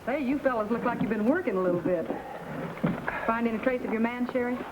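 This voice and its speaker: A woman's voice: on the high side, fast talker, natural voice. An actress playing a chatty, inquisitive woman at a western eatery in an old-time radio show, with a breezy manner. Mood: breezy, curious. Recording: dull, noisy old radio recording.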